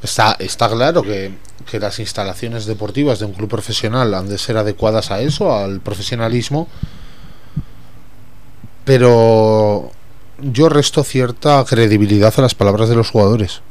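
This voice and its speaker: A man's voice: lowish voice, moderate pace, darkish tone. A man, talking as a sports pundit in a casual panel, blunt and opinionated.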